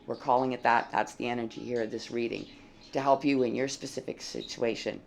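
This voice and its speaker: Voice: medium pitch, fairly even intonation, brisk, creaky, a little hiss or hum. A tarot reader giving a casual, blunt reading with a dry, froggy voice and some swearing. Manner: blunt and plain-spoken, swears freely.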